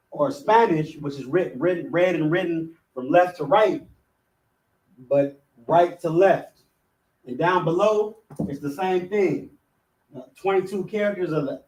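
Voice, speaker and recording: A man leading a class, talking loosely and casually, with frequent restarts and the repeated tag "you know what I'm saying". His voice sits a bit high for a man, and he sounds set back from the microphone. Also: distant mic, rough recording. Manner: chants letter names loudly. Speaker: class teacher. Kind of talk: casual teaching of an alphabet class.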